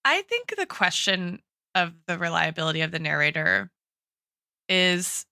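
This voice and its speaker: A woman with a bright, forward voice discusses books on a podcast like a thoughtful teacher. She reasons out loud, qualifying her points as she goes. The sound is dry and close. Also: on the low side, very animated, unhurried, creaky, clean tone, no echo. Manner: reflective, analytical, hedging with frequent tags like "right" and "like". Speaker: podcast host and guest expert, a teacher.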